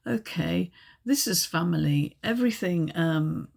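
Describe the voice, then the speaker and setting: Croaky, gravelly-voiced woman reading tarot. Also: fairly low pitch, animated, measured pace, a little mellow. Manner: chatty, hesitant, many ums and restarts. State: croaky, recovering from a chest infection. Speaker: tarot reader. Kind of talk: casual tarot reading to camera.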